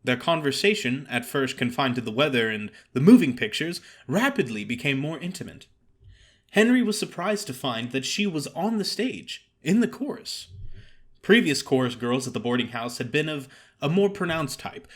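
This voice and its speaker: A man reading a story aloud as theatrical audiobook narration, with a wry, expressive storytelling manner. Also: medium pitch, moderate pace. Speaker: story reader.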